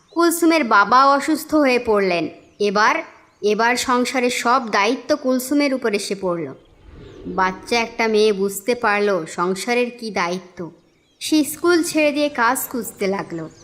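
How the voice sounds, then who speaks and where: Higher-voiced woman narrating a story. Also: a bit bright. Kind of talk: scripted storytelling narration for cartoon. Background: music.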